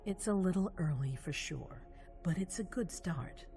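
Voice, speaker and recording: A regal, commanding vampire woman, measured and calculating. Her low voice moves through a wide range, with faint music behind. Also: animated, warm tone. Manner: measured, authoritative. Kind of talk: dramatic video game cutscene acting.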